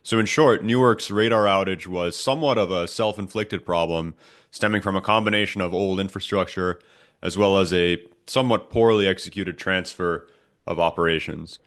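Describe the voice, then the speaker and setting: A man co-hosting a podcast, giving a measured, prepared explanation. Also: low-pitched, fairly bright tone. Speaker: podcast co-host.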